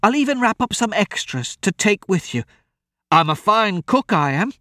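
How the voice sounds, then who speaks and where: A man's voice: high voice, big pitch swings, normal speed, sharp tone, no echo, clean studio sound, pitched above the performer's own voice, exaggerated voice. A man voicing a frightened old farm woman in an audiobook, with urgent, folksy, rustic talk. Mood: pleading.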